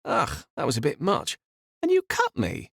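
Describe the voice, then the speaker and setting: A man's voice: a little high, wildly swooping pitch, moderate pace, gravelly, fairly bright tone, husky, no room sound, studio quality, voice pushed up, heavily acted. A man voicing a scheming young woman who talks down to others in a cold, condescending way. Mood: mocking. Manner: condescending, sing-song.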